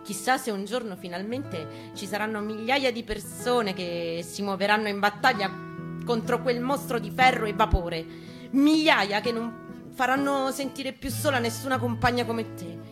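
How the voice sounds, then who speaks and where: A woman's voice: fairly high pitch, moderate pace. Roman woman's dramatic reading over guitar. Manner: intense, colloquial, profane delivery. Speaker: performer reading her piece aloud. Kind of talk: dramatic spoken-word reading. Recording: live guitar under the voice. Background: acoustic guitar music.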